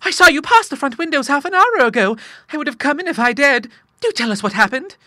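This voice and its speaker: Male narrator playing an eager young lady, very high and breathless, dry read. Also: very animated, quick pace, pushed and bright, dry recording, full character voice. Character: eager affectionate young woman.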